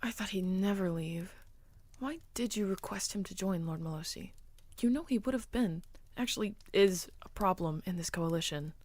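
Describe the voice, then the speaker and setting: A woman's voice: mid-range voice, moderate pace, darkish tone, dry recording, lightly acted. A woman playing a devoted, anxious female general in a fantasy audio roleplay, earnest and deferential. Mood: exasperated.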